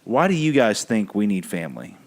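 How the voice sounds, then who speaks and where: A man's voice: lowish voice, sing-song, fairly quick. A young man speaking in a friendly, informal, encouraging way as a pastor hosting a family chat. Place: family kitchen.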